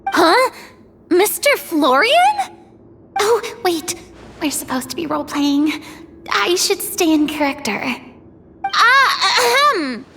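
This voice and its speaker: A woman voicing a tiny, excitable female fairy companion who gasps and frets easily, in a fan reading of a game story. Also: very high-pitched, takes it slowly, pauses often, a little hiss or hum, voice pushed up, heavily acted. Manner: gasping, fretful, easily startled.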